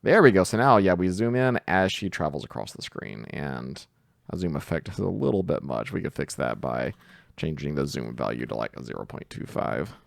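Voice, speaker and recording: Deep, gravelly man giving a casual tutorial. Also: very animated, normal speed, dry recording, clean studio sound. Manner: informal, explains step by step. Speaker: tutorial host. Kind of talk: casual screen-share tutorial narration.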